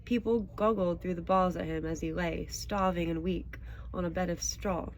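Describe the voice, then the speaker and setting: A woman's voice: fairly low pitch, steady tone, normal speed, faint music behind. A woman reading stories aloud expressively and chatting casually about them. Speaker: audiobook reader and show host. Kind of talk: expressive storybook narration, then casual chat with a child listener.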